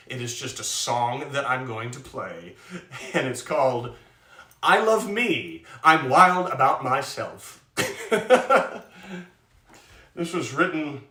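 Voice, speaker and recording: A man with a loud speaking voice, hosting a live stream and chatting casually and self-mockingly between songs. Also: on the high side. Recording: roomy sound from a distant mic.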